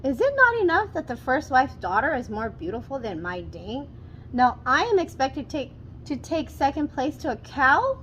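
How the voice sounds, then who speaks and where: A woman's voice: high-pitched, huge pitch swings, normal speed, a bit bright, a little hiss or hum, voice pushed up, full character voice, rough recording. A woman voicing a scheming, jealous stepmother in a storybook, complaining and demanding with melodrama. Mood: indignant.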